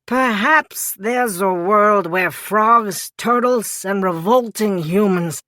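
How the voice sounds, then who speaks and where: A stern frog king from a children's tale, acted by a woman. His lines come as slow, emphatic pronouncements, commanding and weighty. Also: medium pitch, a bit bright, dry recording, clean studio sound. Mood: solemn. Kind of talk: children's storybook character voice.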